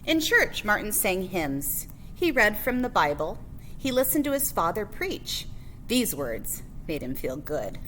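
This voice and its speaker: A woman's voice: mid-range voice, sing-song, unhurried, fairly bright tone, a little hiss or hum. A woman reading a children's picture book biography aloud as a librarian, phrase by phrase with emphasis on key words.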